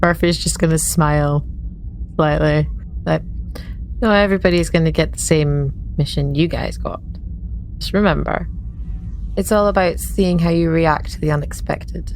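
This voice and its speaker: A woman chatting casually as a player in a roleplaying game, describing her character in an informal, halting way. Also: deep voice, darkish tone, slight background noise, low-quality recording.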